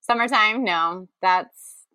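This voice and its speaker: A woman's voice: medium pitch, slow, pushed and bright, no room sound. A woman podcast co-host playing along in casual banter, exclamatory and openly exasperated. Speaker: podcast co-host and game contestant.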